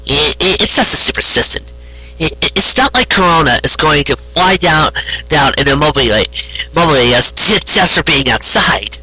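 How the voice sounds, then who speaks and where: A man's voice: a little high, animated, normal speed, sharp tone, breathy edge, voice pushed up, exaggerated voice, low-quality recording. A man voicing a defensive young female unicorn in a story, hesitant and stammering yet insistent. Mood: flustered, hesitant.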